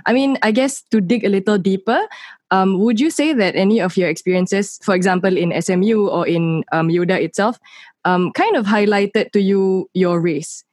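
Woman interviewer, lowish voice, attentive, soft backchannels, dry sound. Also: dry recording. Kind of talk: casual interview chat.

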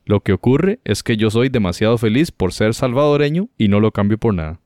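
Guy hosting a talk show, fairly low voice, really dry close sound. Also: lively intonation, fairly quick, a little mellow, no echo. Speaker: host. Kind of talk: conversational talk show, reading out quotes.

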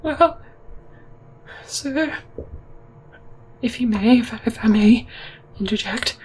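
A meek spider person speaks in a very high, faint, breathy voice. It stammers slowly and hesitantly through polite apologies, a glum little creature in a comic audio drama. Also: male voice, heavily acted. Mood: timid, apologetic.

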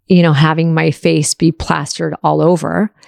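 A woman's voice: low voice, little pitch movement, normal speed, creaky, a little mellow, no echo, studio quality. A woman in her early forties talking candidly and casually to her listeners, in an open, confessional and reflective way. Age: early 40s. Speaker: podcast host answering listener questions.

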